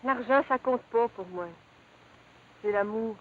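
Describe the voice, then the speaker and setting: An actress playing a devoted, principled young country sweetheart in a 1950s television drama, plain-spoken, with a Quebec French accent. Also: female voice, on the high side, slow. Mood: tender. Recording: tinny, noisy 1950s television soundtrack.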